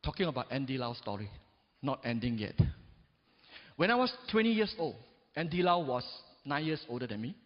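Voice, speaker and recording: A man's voice: big pitch swings, moderate pace. A man in his forties giving a motivational stage speech, emphatic and often asking the crowd "right?" and "yes or no?". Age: 40s. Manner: peppers talk with "right?" and "yes or no?" to the audience; emphatic, swings between loud rises and low drops. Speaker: motivational speaker. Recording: dull recording in a big reverberant hall.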